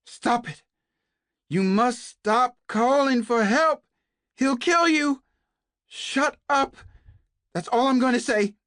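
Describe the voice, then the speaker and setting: A narrator voicing a terrified young woman prisoner who shouts to silence another captive. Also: mid-range voice, slow pace, long pauses, dry recording, studio quality, voice pushed up. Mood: panicked. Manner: strained, panicked shouting.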